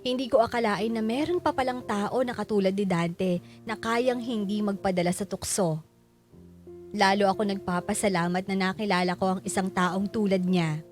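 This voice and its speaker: A woman's voice: mid-range voice, moderate pace, a little mellow, faint music behind, lightly acted. An actress playing a remorseful wife who narrates her own story in a Filipino radio drama, reflective and confessional.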